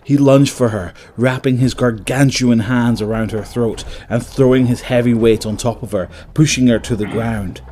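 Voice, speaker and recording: A man's voice: mid-range voice, steady tone, moderate pace, hardly any pauses, warm tone, slight background noise. A man narrating a scripted dramatic story as the narrator of an audio drama.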